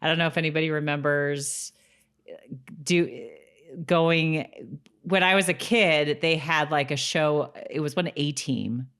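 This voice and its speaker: A woman's voice: deep voice, steady tone, measured pace, fairly bright tone, clean tone. A woman co-hosting a podcast, talking in a casual, conversational style. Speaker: podcast co-host. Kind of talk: casual chat about a TV episode.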